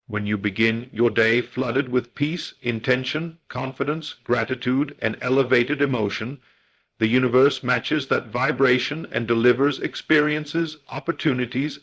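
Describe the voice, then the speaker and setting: A man with a mid-pitched voice narrates a scripted guided meditation. He speaks as a motivational guide, with solemn and deliberate phrasing that has the shape of a formal address. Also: a bit bright, breathy edge, low-quality recording.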